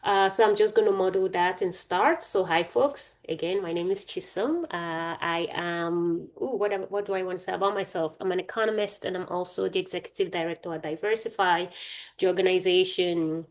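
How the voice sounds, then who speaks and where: A woman hosting an online panel, introducing herself with lots of fillers and pauses. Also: fairly low pitch. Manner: hesitant, many fillers. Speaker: host, executive director.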